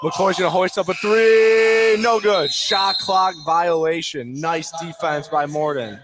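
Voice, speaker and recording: A man doing live play-by-play basketball commentary in clipped phrases, with excitable calls on big plays. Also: high voice, takes it slowly, crowd noise. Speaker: play-by-play sports announcer. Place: courtside at a basketball game.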